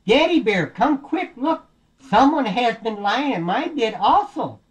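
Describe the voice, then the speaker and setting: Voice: medium pitch, very animated, measured pace, pitched above the performer's own voice. A storyteller voicing a caring, fretful mother bear in a children's storybook tale. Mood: dismayed.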